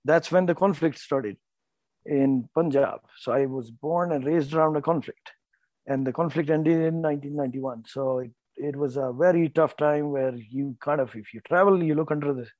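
A man's voice: on the high side, moderate pace, darkish tone, no echo, rough recording. A man in his forties from North India with an Indian accent, a guest telling his story in a rambling, self-correcting way. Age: 40s. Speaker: guest, farmer and entrepreneur. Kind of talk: casual interview talk.

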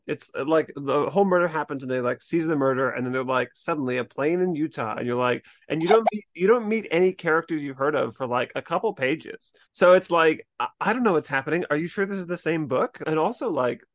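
A man's voice: on the high side, lively intonation, a little fast, few pauses, dry recording. Casual podcast man, close dry sound. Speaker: podcast host. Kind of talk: casual chat.

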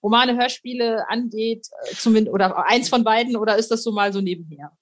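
A woman hosting a podcast interview in a casual, informal way, halting and repeating phrases. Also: fairly high pitch.

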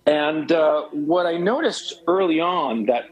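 A man's voice: a little high, lively intonation, takes it slowly, poor audio. A man in his forties from Chile, a guest talking emphatically on a cell phone, rambling with many restarts. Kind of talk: phone interview.

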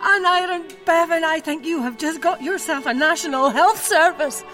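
An actress playing a politician's wife, nervous at first and then teasing him fondly. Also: female voice, very high voice, moderate pace, pushed and bright, faint music behind.

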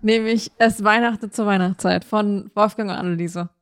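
Female co-host, average pitch, informal playful banter, dry close sound. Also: steady tone, moderate pace, smooth, no echo. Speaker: podcast co-host. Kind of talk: casual, playful podcast chat.

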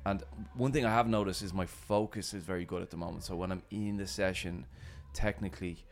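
A man hosting a casual podcast chat, upbeat and quick to laugh. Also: low-pitched, steady tone, slight background noise. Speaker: podcast host and coach.

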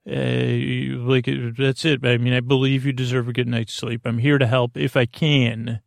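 A man's voice: mid-range voice, level tone, normal speed, gravelly, dry recording, clean studio sound. A man hosting a silly bedtime podcast in a rambling monologue, hesitant and often trailing off mid-thought. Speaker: podcast host.

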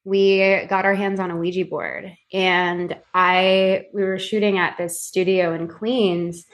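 Young female podcast guest, lower voice, chatty storyteller. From Filipino heritage. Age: 20s. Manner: animated storyteller, many "like" fillers, slows for explanations. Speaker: podcast guest, psychic reader. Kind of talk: casual interview chat.

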